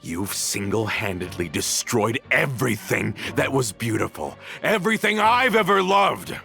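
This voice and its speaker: A bitter, vengeful man in a dramatic game scene. His gravelly voice swings widely in pitch as he accuses, leaning theatrically on each phrase. Music plays underneath. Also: very animated, unhurried, bright, forceful tone, heavily acted. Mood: furious grief. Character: bitter, vengeful grown man, embittered former mafia member. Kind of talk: dramatic video game voice acting.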